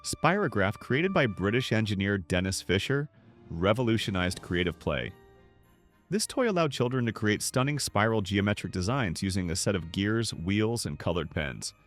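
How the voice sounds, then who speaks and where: A man's voice: on the low side, very animated, moderate pace, faint music behind. A man reading scripted narration in an earnest storytelling manner, as if addressing the listener directly. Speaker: narrator of a nostalgia video.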